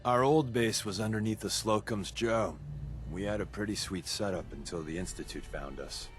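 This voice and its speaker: A wisecracking resistance spy from a video game, played by a man, with a fairly low voice. He talks casually and glibly, as if letting a friend in on a secret. Faint music sits behind him. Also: moderate pace, lightly acted. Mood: breezy, confiding. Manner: glib, casual, confiding.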